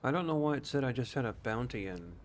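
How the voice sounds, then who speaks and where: A man's voice: mid-range voice, lively intonation, quick pace. A man doing casual gameplay commentary, musing aloud and giving short voice commands. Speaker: gaming streamer. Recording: noisy, engine ambience behind voice.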